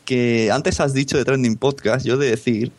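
A man from hosts a relaxed podcast interview. He muses and rambles with chuckles, at a middle pitch, and the recording sounds close and dry. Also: normal speed, no echo. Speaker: podcast host. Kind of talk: casual interview chat.

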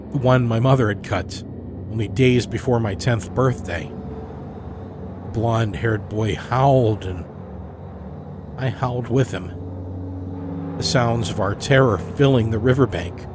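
A man's voice: medium pitch, noisy background. A man reading scripted narration as the storyteller of a fiction podcast. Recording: music under the voice. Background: musical score.

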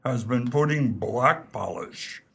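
A man playing an imperturbable English valet in a radio play, formal, deferential and deadpan. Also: medium pitch, slow pace, gravelly, husky, heavily acted. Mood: solemn deference.

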